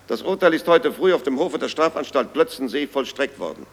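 An actor playing a cold court official who reads a formal proclamation in a radio play, with long reverb. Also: male voice, medium pitch, lively intonation, brisk. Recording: long reverberant hall echo.

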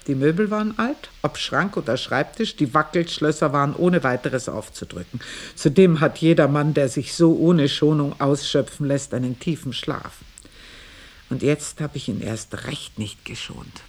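A woman playing a bitter, earthy older maidservant, telling her story in a dramatic monologue with a husky voice. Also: sits low, full character voice. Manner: scornful, vivid, shifting between murmur and emphasis.

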